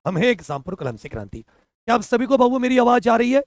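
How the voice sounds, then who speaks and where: A hoarse young man teaching a live online exam-prep class, repeating answers and quizzing his students. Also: very high voice, big pitch swings, fast, dry recording, studio quality. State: hoarse from a cough.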